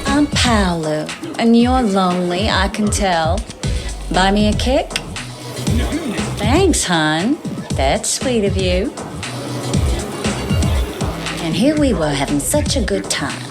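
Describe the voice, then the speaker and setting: Brief: languid, world-worn flirt in a game bar, unhurried, music behind. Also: female voice, medium pitch, very animated. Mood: flirtatious. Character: jaded flirtatious female barfly, bitter, streetwise. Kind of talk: video game cutscene acting.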